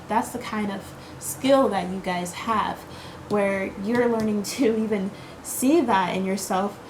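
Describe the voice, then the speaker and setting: A woman's voice: mid-range voice, takes it slowly, background noise. A woman giving a casual tarot card reading to her viewers. She talks in a reassuring, chatty way, full of fillers and repeated "okay?" checks. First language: English.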